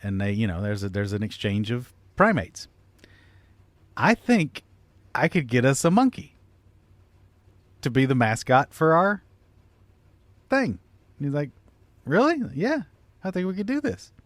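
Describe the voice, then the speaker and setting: Animated man telling a long joke. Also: medium pitch, a little slow, long pauses, no echo. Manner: profane, acts out quoted dialogue. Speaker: comedy podcast storyteller. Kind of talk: casual comic storytelling, telling a long joke.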